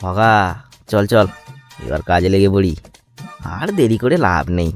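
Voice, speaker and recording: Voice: deep voice, expressive, unhurried. A performer voicing a mischievous, scheming sidekick in a comedy cartoon, exaggerated and egging others on. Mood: conspiratorial. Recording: music behind the voice.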